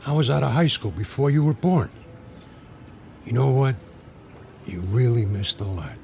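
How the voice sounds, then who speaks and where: A gravelly old ex-ballplayer. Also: male voice, medium pitch, animated, moderate pace, a little mellow, slight background noise. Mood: reminiscing. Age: older. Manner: rambling storyteller. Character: old man, a talkative former ballplayer. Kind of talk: radio drama acting.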